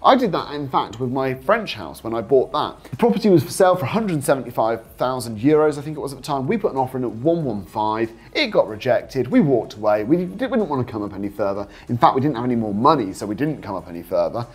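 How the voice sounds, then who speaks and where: A British man speaks in a highly varied, animated pitch with a slightly rough-edged voice. He coaches viewers informally, explaining step by step in a persuasive way and checking in with rhetorical questions. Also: fast, few pauses. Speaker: host, property investing coach. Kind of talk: informal instructional talk to viewers.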